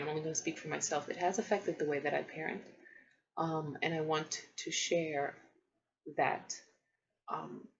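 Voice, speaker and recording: A woman in her thirties, a native Polish speaker, talks to camera in a lowish voice with a gravelly edge. She speaks thoughtfully, with frequent ums and restarts, on a dull lo-fi home recording. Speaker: parenting vlogger. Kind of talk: casual vlog talk to camera.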